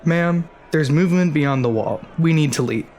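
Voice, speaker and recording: A man's voice: a little high, normal speed, darkish tone, natural voice. A man playing an urgent, dutiful lookout for a rebel leader in a post-apocalyptic audio drama.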